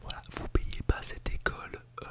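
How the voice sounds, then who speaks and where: Hushed whispering ASMR teacher. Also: normal speed. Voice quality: unvoiced breathy whisper. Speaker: ASMR artist role-playing as a teacher at an ASMR school. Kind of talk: whispered ASMR role-play lesson. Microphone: close Blue Yeti microphone in binaural mode.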